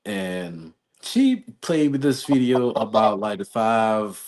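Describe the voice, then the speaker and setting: A man's voice: fairly low pitch, expressive, slow, darkish tone. An American man in a casual talk-show chat, rambling through a personal story as host. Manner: rambling, storytelling with quoted exchanges.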